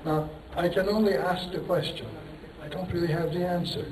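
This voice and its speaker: Male lecturer, rambling and self-deprecating, fairly high voice, distant in an echoey hall. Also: steady tone, normal speed, a little mellow. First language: Turkish. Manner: rambling, self-deprecating, poses rhetorical questions, chuckles. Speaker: engineering professor giving a lecture. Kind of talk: conference lecture.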